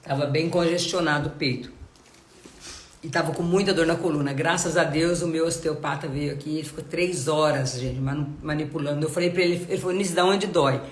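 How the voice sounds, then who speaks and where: A woman's voice: low voice, a little fast, echoey room. A woman giving a live devotional Bible talk, nasal and congested from a cold, earnest and full of rhetorical questions. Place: home.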